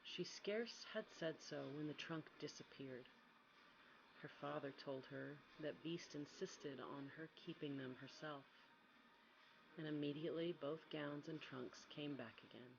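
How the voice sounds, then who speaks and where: A woman softly reading a fairy tale as a quiet ASMR bedtime storyteller. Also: sits low, unhurried, vocal fry, muffled recording, noisy background. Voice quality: soft, quiet.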